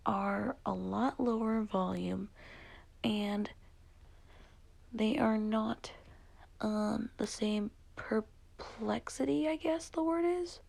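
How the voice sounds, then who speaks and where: A woman whispering softly as she comments on songs, hesitant and full of fillers. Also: mid-range voice, creaky. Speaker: vlogger reviewing songs. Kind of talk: whispered ASMR-style music commentary.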